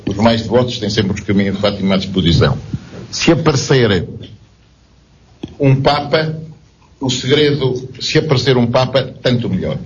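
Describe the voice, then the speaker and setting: A man's voice: medium pitch, expressive, moderate pace, pauses often, a little hiss or hum. A man speaking up from the audience at a public debate, hesitant and repeating words as he builds his question. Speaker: audience member asking a question. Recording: dull lo-fi recording.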